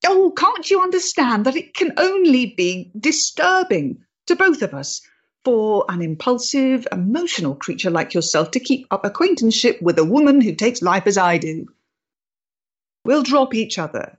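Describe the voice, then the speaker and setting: A woman's voice: fairly high pitch, big pitch swings, moderate pace, husky, lo-fi sound. An actress playing a proud, intense, troubled freethinking woman of the 1890s in a period stage drama, speaking emphatically and articulately. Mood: agitated.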